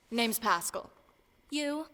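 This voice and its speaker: A woman's voice: on the high side, fairly bright tone, slight background noise, heavily acted. A woman voicing a feisty, impatient raccoon vigilante in an audio drama, sarcastic and brusque. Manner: snappy, sarcastic. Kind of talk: audio drama cartoon-like acting.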